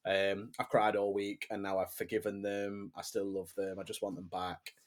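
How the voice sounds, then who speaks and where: A man's voice: lowish voice, level tone, moderate pace. A man co-hosting a casual podcast, joking, swearing often and laughing a lot. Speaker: podcast co-host. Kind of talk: casual banter.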